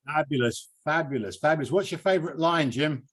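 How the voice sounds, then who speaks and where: A man's voice: a little high, brisk, husky. A British man casually hosting a poetry reading over a video call, with an effusive, chatty manner.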